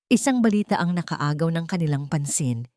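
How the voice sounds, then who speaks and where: A woman narrating a romantic audio drama in a steady, scripted storytelling voice. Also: sits low, a little fast, no room sound, clean studio sound.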